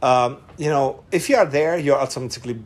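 A man's voice: mid-range voice, brisk. A middle-aged man from Hungary with a Hungarian accent, a guest chatting casually in an interview, hesitant and full of fillers and restarts. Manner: hesitant, many fillers and restarts, laughs often.

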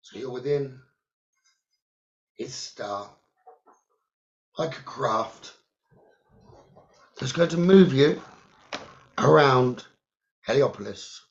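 A man leading a guided meditation in short, halting phrases with long pauses and a creaky voice. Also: medium pitch, expressive. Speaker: guided meditation leader, psychic medium. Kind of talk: slow guided meditation narration. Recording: dull, lo-fi sound.

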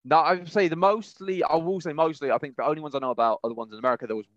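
A man co-hosting a podcast, casually discussing news in a halting, rambling way and often rephrasing mid-sentence. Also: on the high side, no room sound, lo-fi sound. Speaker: podcast co-host.

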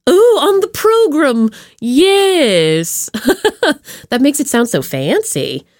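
A woman's voice: sits high, sing-song, takes it slowly, a little mellow, no echo, studio quality. A woman hosting a podcast in a chatty, effusive and playful way, addressing listeners directly. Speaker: podcast host. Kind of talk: chatty podcast introduction and plugs.

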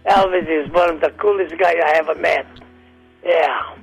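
An older man, an entertainer guest, reminiscing in casual chat with a hoarse, weakened voice and halting, rambling speech full of restarts. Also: on the high side, fairly even intonation, faint music behind, rough recording.

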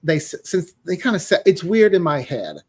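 A man's voice: high voice, sing-song, moderate pace, darkish tone, slightly rough. A man chatting casually as an interview guest, a writer who acts out quoted reactions as he explains. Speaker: podcast guest, audio drama writer.

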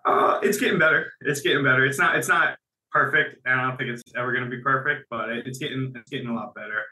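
A man's voice: medium pitch, steady tone, fast talker, bright, forceful tone. A man speaking as a business guest in a casual interview, talking conversationally and repeating phrases as he restarts his sentences. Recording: echoey room.

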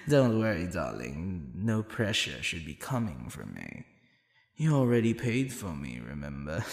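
Man playing a gravelly, suave demon boyfriend. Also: lowish voice, reverberant, heavily acted. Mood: playful, coaxing.

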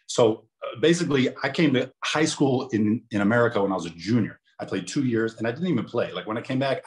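Emphatic fast-talking ex-pro athlete man. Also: mid-range voice. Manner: emphatic, confident storytelling. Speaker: guest, former pro basketball player. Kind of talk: casual interview.

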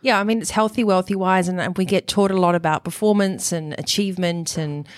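A middle-aged woman with a New Zealand accent, a coach answering interview questions in an energetic, upbeat way. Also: lowish voice, a little fast, no echo, clean studio sound. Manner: energetic, upbeat, full of catchphrases. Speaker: guest, performance coach and author. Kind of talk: enthusiastic interview talk.

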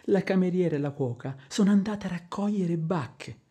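A man's voice: high-pitched, moderate pace, mellow, slightly rough. An older man acting in a radio drama as a fussy, pleading old manservant, coaxing and homely.

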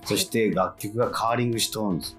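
A man's voice: fairly low pitch, fast, a bit bright. A man hosting a chatty radio interview, reacting often with short interjections and emphatic agreement. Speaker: radio host. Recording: faint music behind the voice. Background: faint music.

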